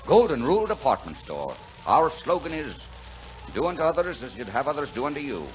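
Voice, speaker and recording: A man's voice: medium pitch, very animated, brisk, lightly acted. Man playing a blustering, kindhearted 1940s radio driver, swooping delivery. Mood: warm, jovial. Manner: folksy, blustering, exclamatory. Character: gruff, kindhearted Irish-American bachelor delivery driver. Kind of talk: 1940s radio drama acting. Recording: dull, noisy 1940s radio recording.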